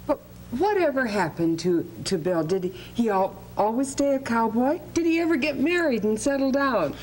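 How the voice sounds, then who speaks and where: A woman's voice: fairly high pitch, expressive, normal speed, darkish tone, rough recording. A woman hosting a scripted educational programme in a courteous, inquisitive, conversational way. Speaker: host. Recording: hum and faint background noise.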